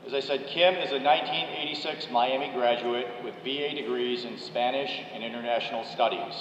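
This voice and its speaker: A man, a college dean, reading a measured, formal introduction of a guest speaker from a podium microphone in a large ceremony hall. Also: medium pitch, fairly even intonation, fairly bright tone, a little hiss or hum. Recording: echoey, dull hall recording.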